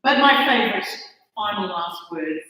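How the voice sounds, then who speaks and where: A woman's voice: mid-range voice, expressive, moderate pace, sharp tone, slight background noise. A woman from Australia with an Australian accent, giving a chatty, self-deprecating conference talk that halts and restarts. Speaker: conference speaker. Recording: echoey hall, mic far off.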